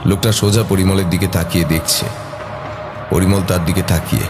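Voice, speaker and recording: A man's voice: low voice, steady tone, fairly quick, over music. A man narrating a scripted horror story in a suspenseful, dramatic manner.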